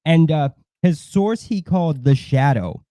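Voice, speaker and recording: A man in casual livestream chat, blunt and disdainful, swearing heavily and haltingly circling back over his points. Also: a little high, expressive, dark tone, no echo, clean studio sound. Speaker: co-host of a livestream.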